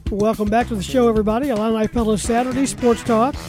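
A man's voice: very high-pitched, steady tone, quick pace, music in the background. An American man hosting a live local sports radio talk show, announcing and chatting with guests.

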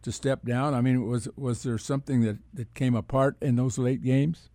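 Male sports talk host, mellow timbre, dry close sound, halting conversational questioning. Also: mid-range voice, fairly even intonation, dry recording. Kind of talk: casual interview questioning.

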